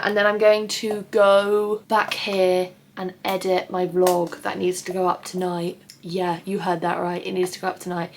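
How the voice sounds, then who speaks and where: A woman's voice: medium pitch, slight background noise. A young English woman in her twenties chatting casually to camera in her bedroom, rambling and swearing with a self-described whiny voice. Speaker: vlogger. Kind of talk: casual vlog chat. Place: bedroom at home.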